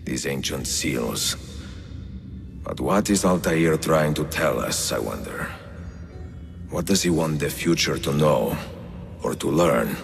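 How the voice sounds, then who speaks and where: Very deep, gravelly aging master assassin. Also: male voice, slow, pauses often, heavily acted. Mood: pensive. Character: aging reflective male Italian master assassin writing letters. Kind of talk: dramatic narration. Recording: music behind the voice.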